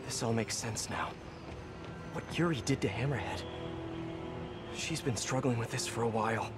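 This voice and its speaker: A man's voice: mid-range voice, normal speed, lightly acted. An actor playing an earnest young male superhero who often talks to himself with concern in a video game cutscene. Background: game score music.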